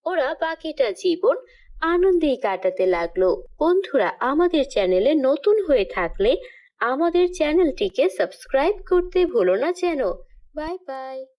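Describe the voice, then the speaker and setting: A woman's voice: high voice, sing-song, unhurried, dull audio. A woman storyteller narrating a scripted fairy tale for children.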